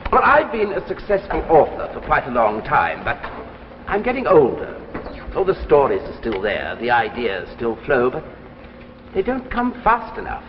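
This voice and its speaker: An actor playing an older, courteous and persuasive successful author in a 1950s radio drama, with a theatrical, gracious manner. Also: male voice, mid-range voice, wildly swooping pitch, normal speed. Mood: persuasive, insistent. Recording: muffled, hissy old radio recording, reverberant.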